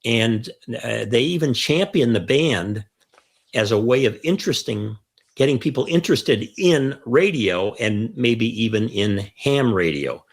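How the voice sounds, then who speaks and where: A man's voice: mid-range voice, expressive, unhurried. An older man with an American accent, chatting through an explainer as host and stressing key phrases. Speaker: host of a ham radio show.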